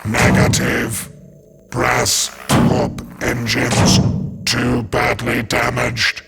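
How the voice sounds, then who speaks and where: A man voices a mechanical ship's butler in a retro sci-fi audio drama. He speaks very slowly and gravelly, with utterly level pitch. His manner is formal and unflappable, with music underneath. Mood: deadpan. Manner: deadpan, formal, monotone. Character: formal, unflappable male robot butler aboard a spaceship.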